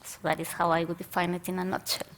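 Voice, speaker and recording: A woman's voice: on the low side, little pitch movement, fast talker, gravelly, fairly bright tone. A woman in her thirties from Mexico, speaking articulately as a panelist and diversity consultant, with easy laughs. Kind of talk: panel discussion.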